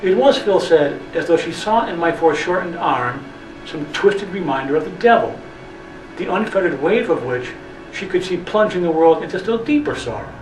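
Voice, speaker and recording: A man with a fairly high voice performs a brooding literary monologue, swooping widely in pitch. His phrasing is theatrical and deliberate. The sound is echoey, with music underneath. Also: lively intonation, far from the mic. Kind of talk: dramatic literary monologue narration.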